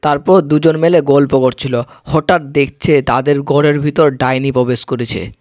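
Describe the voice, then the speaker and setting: A man's voice: mid-range voice, steady tone, moderate pace, a little mellow, no echo. A man narrating an amateur cartoon story informally, with colloquial, repetitive phrasing.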